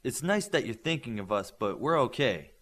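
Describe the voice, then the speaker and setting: A man's voice: mid-range voice, sing-song, moderate pace, fairly bright tone. Man voicing a brash, athletic boy hero. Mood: self-assured.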